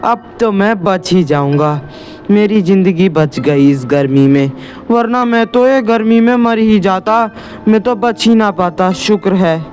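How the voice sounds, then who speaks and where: A brash, excitable village lad, acted by a male narrator, in a cartoon comedy tale. He exclaims loudly and melodramatically in a voice pitched far up, over background music. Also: unhurried. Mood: relieved. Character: brash, excitable young village man, Rahul.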